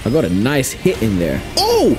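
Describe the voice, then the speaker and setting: The raspy guy in a late-night co-op stream, yelping urgent repeated callouts to his buddy as boss music blares. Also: medium pitch, normal speed. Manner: excitable, repeats short urgent phrases. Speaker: gaming streamer. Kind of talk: excitable gameplay commentary with a friend. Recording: loud game music behind voice. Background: video game music and effects.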